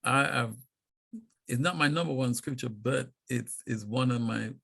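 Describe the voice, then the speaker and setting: A man chatting as a guest on a video-call talk show, playful and quick to laugh as he tells stories. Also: mid-range voice, vocal fry, a bit bright, breathy edge. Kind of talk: casual chat. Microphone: video call mic.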